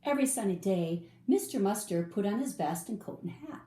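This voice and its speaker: A woman reading a children's picture book aloud, with expressive, drawn-out emphasis for young listeners. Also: mid-range voice. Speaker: storybook reader. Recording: roomy echo, faint background noise.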